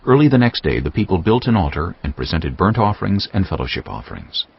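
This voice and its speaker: A man giving a solemn, measured, dramatic narration of scripture. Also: deep voice, sing-song, creaky, husky. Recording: dull lo-fi sound. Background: music.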